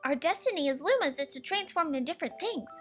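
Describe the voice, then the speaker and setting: A woman's voice: high voice, animated, fast, faint music behind, voice pushed up. A woman voicing a small, childlike star creature, cheery, eager and devoted, in a storybook reading. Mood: proud, chirpy.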